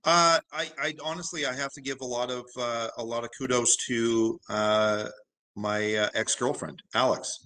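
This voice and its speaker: A man in his forties with a big, carrying voice, a former broadcaster, talking thoughtfully in a casual interview with many pauses and restarts. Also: mid-range voice, steady tone, sharp tone, poor audio. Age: 40s. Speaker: guest.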